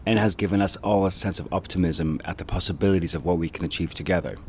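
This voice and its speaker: A man's voice: low-pitched, fast talker, a little mellow, slight background noise. A man presenting a documentary about his street, narrating in an earnest, upbeat way. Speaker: presenter and street event organizer.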